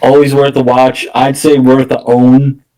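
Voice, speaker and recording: A man's voice: mid-range voice, completely flat delivery, a little slow, dark tone, poor audio. Casual, hesitant man chatting about films. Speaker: podcast co-host. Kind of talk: casual film chat.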